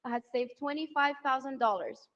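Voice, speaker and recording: High-voiced woman giving a rally speech. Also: a little fast, muffled recording. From Venezuela. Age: 30s. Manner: emotional, rousing, builds to shouted applause lines. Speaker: political spouse introducing candidate. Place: large outdoor rally before a crowd. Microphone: handheld mic.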